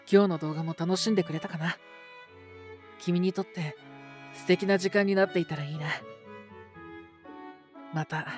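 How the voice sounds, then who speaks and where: A man speaking straight to the listener as a virtual host, in a sweet, princely, flirtatious and teasing style. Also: a little high. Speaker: voice-roleplay creator, virtual YouTuber.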